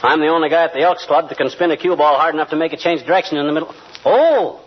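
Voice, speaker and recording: An actor playing a blustery middle-aged American husband with slangy, ungrammatical, folksy talk in 1940s radio comedy style. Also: male voice, on the high side, fast talker, close to an everyday voice. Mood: boastful. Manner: folksy, slangy, bad grammar. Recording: noisy, muffled vintage radio recording with clicks.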